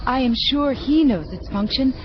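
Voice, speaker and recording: An actress playing a deferential junior angel in an audio drama, polite, earnest and formal. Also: female voice, high voice, animated, moderate pace. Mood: earnest, reverent. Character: eager, deferential female junior angel assistant. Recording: dull sound, faint background noise.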